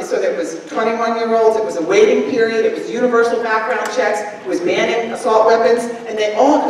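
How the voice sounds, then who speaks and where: A middle-aged woman answering as a candidate at a forum, emphatic and forceful, into a handheld mic. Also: on the high side, a little hiss or hum. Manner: emphatic, gesturing, fast and forceful. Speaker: political candidate. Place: large school gym, indoors. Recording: echoey gym from far off, crowd murmur.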